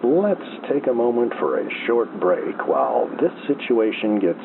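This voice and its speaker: An unflappable baseball play-by-play man, played by a male actor comes through a thin, phone-like radio signal thick with noise. His delivery is deadpan and slow, with a gravelly creak and a small-town broadcast feel. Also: mid-range voice. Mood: deadpan calm. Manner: unhurried, deadpan. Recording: noisy, thin telephone-like AM radio sound.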